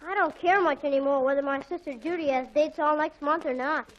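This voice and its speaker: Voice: high-pitched, fairly even intonation, brisk, highs cut off, lightly acted. An actor playing a pompous, wordy little brother in a 1940s radio comedy. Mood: weary, conspiring. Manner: pompous big words, emphatic declarations. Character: bright, pompous, wordy boy, Judy's younger brother, combative. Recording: old 1940s radio broadcast.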